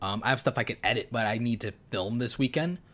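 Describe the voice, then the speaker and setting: A man's voice: mid-range voice, fast, fairly bright tone. A man hosting a live stream, sick and losing his voice, giving frank advice while thinking aloud with lots of ums. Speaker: YouTube creator hosting live Q&A and channel reviews.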